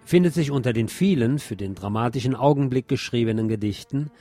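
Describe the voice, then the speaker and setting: A man giving a scripted literary talk and reciting poetry in a measured, deliberate, declamatory way, like a radio presenter. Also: mid-range voice, mellow, soft background music.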